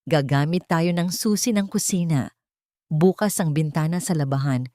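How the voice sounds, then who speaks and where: A woman with a Filipino accent voicing a fearful, loyal elderly cook who speaks in a hushed, urgent way. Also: low voice, normal speed, no echo, clean studio sound, natural voice.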